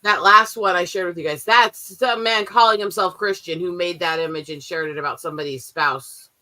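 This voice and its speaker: A woman's voice: lowish voice, a little fast, sharp tone. A woman co-hosting a casual livestream chat, blunt and profane, talking over a remote streaming mic. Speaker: stream co-host. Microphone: streaming mic, remote call.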